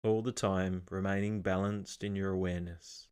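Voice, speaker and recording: A man with a fairly low voice leads a guided meditation. His delivery is calm and measured, giving gentle step-by-step instructions and leaving pauses between phrases. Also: clean tone.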